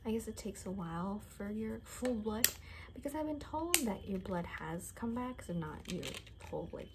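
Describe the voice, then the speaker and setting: A young woman doing an improvised ASMR roleplay in a soft, often whispered voice, rambling and repeating words in a hushed, unhurried way. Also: medium pitch, lively intonation, background noise. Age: young adult. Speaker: ASMR creator playing a caretaker. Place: small bedroom, indoors.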